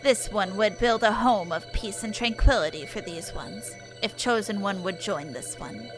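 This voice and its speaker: Elder forest spirit for a fantasy game female performer: gentle, ceremonious, clear music underneath. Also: medium pitch, animated, moderate pace, close to an everyday voice. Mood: solemn, moved. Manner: ceremonious third-person speech.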